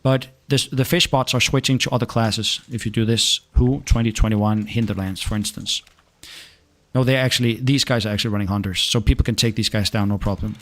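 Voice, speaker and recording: Relaxed Danish man chatting while gaming. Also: fairly low pitch. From Denmark. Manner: halting, restarts often, relaxed. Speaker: gaming streamer. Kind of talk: casual chat reading viewer questions.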